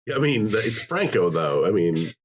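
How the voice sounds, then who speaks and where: A man hosting a casual podcast chat, telling jokey stories in an animated way. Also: fairly low pitch, moderate pace. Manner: animated, joking storyteller. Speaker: podcast host.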